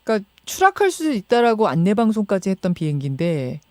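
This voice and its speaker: A woman's voice: medium pitch, very animated, quick pace, dark tone, no echo. A woman hosting a radio news show, interviewing in a conversational, engaged way and restating what she hears. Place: radio studio. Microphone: close studio microphone.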